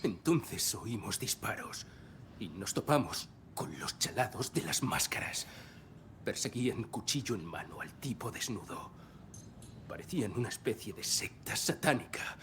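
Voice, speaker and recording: A man's voice: on the low side, big pitch swings, unhurried, fairly bright tone, slight background noise, exaggerated voice. An actor playing a rattled male civilian witness in a dubbed video game, plain-spoken and slangy. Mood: uneasy, recounting. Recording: music behind the voice.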